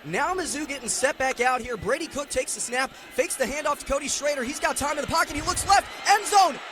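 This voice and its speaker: A man calling live sports play-by-play for radio in an excitable way, raising his voice to a shout on big plays. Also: very high-pitched, a little fast, music in the background. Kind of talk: live radio football and basketball play-by-play commentary. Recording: clearly noisy live broadcast sound.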